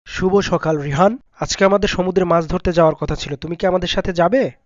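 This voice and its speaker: A man's voice: high voice, fairly even intonation, fairly quick, dry recording, lightly acted. A man playing a caring, cautious fisherman father in a children's cartoon. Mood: caring, questioning. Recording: muffled sound with music behind.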